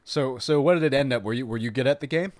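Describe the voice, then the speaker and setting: A man's voice: medium pitch, fast talker, no echo. A man hosting a casual podcast interview, rambling with frequent restarts and laughter. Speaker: podcast host.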